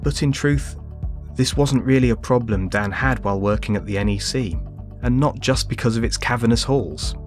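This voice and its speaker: A man reading scripted documentary narration in a measured way. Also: mid-range voice, soft background music.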